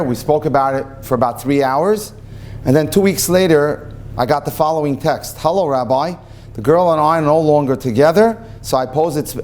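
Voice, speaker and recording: A middle-aged man, a rabbi, giving a live talk to an audience on a handheld mic and telling jokes with lively punchlines. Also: mid-range voice, slight background noise. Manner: animated storyteller, voices for punchlines. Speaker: rabbi and dating speaker. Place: event hall with audience.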